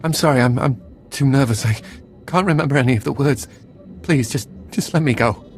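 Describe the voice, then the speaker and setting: An actor playing a terrified, cowering Sith acolyte held prisoner in a video game, nervous, stammering and pleading. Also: male voice, medium pitch, a little fast, faint music behind, lightly acted. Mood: flustered, nervous.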